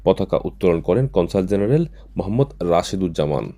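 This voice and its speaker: A male reporter with a Bangladeshi accent narrates a scripted news package. His voice is deep, with a dark, mellow tone. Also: lively intonation, fast.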